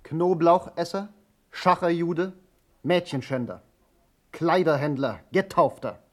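An actor playing a humorless, pompous German patriot in a radio play, declaiming stiffly with outraged chivalry. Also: male voice, a little high, slow. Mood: insulting, furious.

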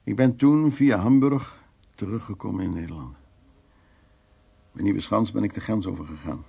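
A man's voice: lowish voice, normal speed, vocal fry, dark tone, husky. An actor playing an evasive, hard-drinking man in a radio drama, telling his stories in a rambling, teasing way. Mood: reflective, storytelling. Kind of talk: Dutch radio drama acting.

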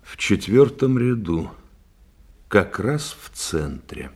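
An actor in a radio play voicing a composed, modest psychiatrist sleuth, courteous, analytical and measured in delivery. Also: male voice, on the low side, big pitch swings, darkish tone, breathy edge, heavily acted.

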